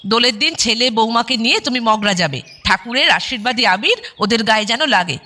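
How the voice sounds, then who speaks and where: A woman playing a sharp-tongued yet doting dead mother who speaks from her photograph, in a colloquial radio drama style. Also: on the high side, expressive, fairly quick. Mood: scolding. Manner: scolding, teasing, colloquial. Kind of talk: broadcast radio drama acting.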